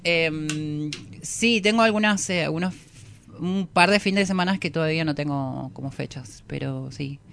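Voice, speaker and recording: A woman with a smooth, clear voice and a Rioplatense Argentine accent. She talks like a musician being interviewed on radio, casually and full of slang. Her sentences ramble and she often puts herself down. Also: deep voice, moderate pace, a bit bright. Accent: Rioplatense Argentine Spanish. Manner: slangy, self-deprecating, rambling. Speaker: musician guest.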